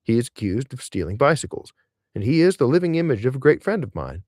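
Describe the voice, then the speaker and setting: A man reading a literary essay aloud in audiobook style, in a measured, expressive way with deliberate emphasis. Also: medium pitch, no echo, clean studio sound.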